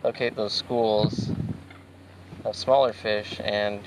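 Kayak angler explaining on open water. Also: male voice, fairly low pitch, slow pace, background noise. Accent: American Pacific Northwest. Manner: relaxed, explanatory, with frequent fillers. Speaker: fishing show host. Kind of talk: casual instructional commentary. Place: outdoors on a kayak on a lake. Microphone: action camera mounted on the kayak.

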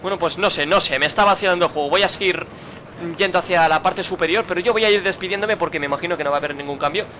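A man's voice: high-pitched, rapid-fire, bright, forceful tone, a little hiss or hum. A man commenting his own gameplay, muttering, grumbling and swearing at the game. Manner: frustrated, swearing, muttering to himself. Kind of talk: let's play commentary.

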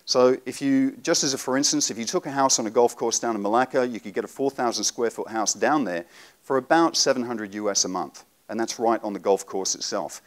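A man in his forties giving a live seminar talk to an audience in a conference room, relaxed and explanatory with frequent ums. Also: mid-range voice, fairly quick. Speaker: presenter.

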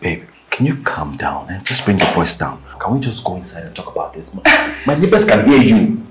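A man's voice: medium pitch, very animated, normal speed, roomy sound, a crowd in the background, close to an everyday voice. An actor playing a broke young man pleading with his girlfriend, with a Nigerian accent, slipping into Pidgin. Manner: pleading, defensive, slips into Pidgin.

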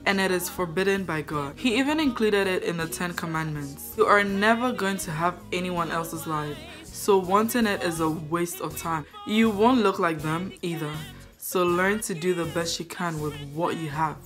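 A young woman with a deep, low voice reading a daily devotion aloud, then reflecting on it earnestly in a casual way, filming herself up close in her bedroom. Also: normal speed, music in the background. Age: young adult. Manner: earnest, thoughtful, with fillers like "like". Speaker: devotional vlogger. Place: bedroom with a tapestry on the wall.

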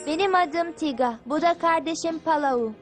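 An earnest, friendly girl of a mountain tribe, played by a woman, speaks in a high, youthful voice. It is cartoon dub acting with music clearly behind it. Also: moderate pace, natural voice.

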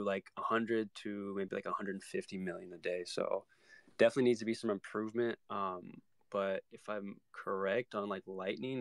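A man's voice: fairly low pitch, no echo. Dry-sounding man asking casual questions. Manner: hesitant, filler-heavy questioning. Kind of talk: casual discussion.

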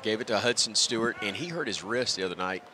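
A man's voice: lowish voice, fast talker, background noise. A middle-aged man chatting as a guest in a broadcast booth interview, self-deprecating and reflective. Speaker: guest, radio play-by-play announcer. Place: stadium press booth at a baseball game. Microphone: broadcast headset. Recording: outdoor ballpark ambience behind voice.

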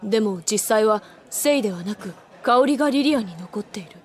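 A woman's voice: mid-range voice, animated, brisk, lightly acted. An actress playing a level-headed, plain-spoken older schoolgirl in an anime audio drama. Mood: questioning.

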